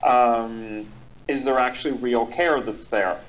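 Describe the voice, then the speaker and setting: A man's voice: mid-range voice, takes it slowly. Reflective man, dull lo-fi sound. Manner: reflective, many ums and restarts. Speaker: guest expert. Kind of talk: thoughtful conversational explanation. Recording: dull lo-fi audio.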